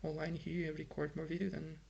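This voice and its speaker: A man's voice: fairly high pitch, completely flat delivery, brisk, creaky, a little mellow, breathy edge, dull audio. A young Brazilian man whose first language is Portuguese, speaking English with a Brazilian accent in a frustrated, rambling vlog full of fillers and restarts. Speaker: vlogger. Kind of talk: casual vlog monologue. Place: home in Ireland.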